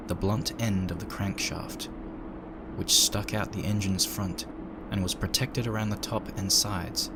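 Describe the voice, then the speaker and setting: Low-voiced man narrating over loud ambience. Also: steady tone, unhurried, heavy background noise. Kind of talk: dramatic first-person story narration. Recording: loud engine and ambient sound effects. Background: background sound effects of ambience and machinery.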